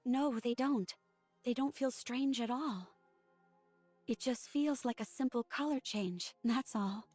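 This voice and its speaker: A high-voiced, remorseful android soldier. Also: female voice, slow, a little mellow, no room sound. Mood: reserved. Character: soft-spoken, remorseful upgraded female android soldier. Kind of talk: dramatic game voice acting. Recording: music behind the voice.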